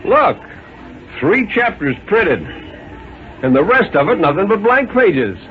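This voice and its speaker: A man voicing a big, gruff policeman, offhand and puzzled, in a radio story. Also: sits high, big pitch swings, slow pace, pitched above the performer's own voice, exaggerated voice. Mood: baffled. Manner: colloquial, offhand. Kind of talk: 1950s radio dramatic reading. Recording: narrow-band, noisy old radio recording.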